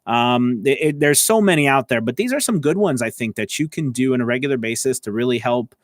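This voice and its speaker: A man's voice: mid-range voice, animated, fairly quick, dry recording. A man with a mid-range voice gives informal coaching to livestream viewers. He speaks straight to the listener in an encouraging, conversational manner. The sound is dry, with very little room in it. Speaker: voice acting coach hosting a live stream.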